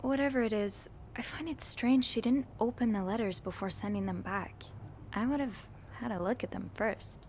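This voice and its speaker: A woman's voice: a little high, moderate pace, darkish tone, slight background noise, natural voice. A woman playing a young hotel maid who speaks earnestly and a bit hesitantly, somewhat naive. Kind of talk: video game voice acting.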